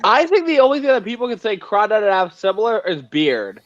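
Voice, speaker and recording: A young man in casual livestream chat, excitable and rambling. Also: high-pitched, fast talker, a little hiss or hum. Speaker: livestream co-host. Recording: dull dry mic.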